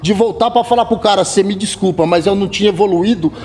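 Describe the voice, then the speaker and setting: A man's voice: sits high, quick pace, a little mellow. A man guest-rapping on a panel chat, slangy and loose, with many restarts and "tá ligado". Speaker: guest rapper. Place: community center room, seated on sofa with panel.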